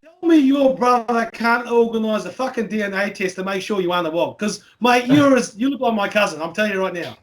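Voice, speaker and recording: A man's voice: sits high, a little fast. A man with an Australian accent hosting a casual podcast chat, blunt and joking, with heavy swearing. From country Victoria, Australia. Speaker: podcast host.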